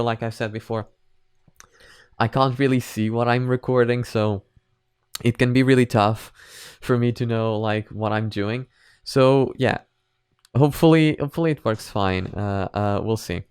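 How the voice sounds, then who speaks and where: A man's voice: fairly low pitch, clean tone, no room sound, studio quality. A man casually talking to viewers as a reaction video host, in a rambling, repetitive way with frequent chuckles. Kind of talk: casual reaction commentary to camera.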